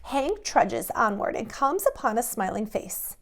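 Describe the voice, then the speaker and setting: A woman's voice: on the high side, big pitch swings, measured pace, creaky. A woman preschool teacher speaking to young children in simple, guiding phrases, as in a read-aloud or lesson. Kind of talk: expressive picture-book read-aloud and children's drawing lesson.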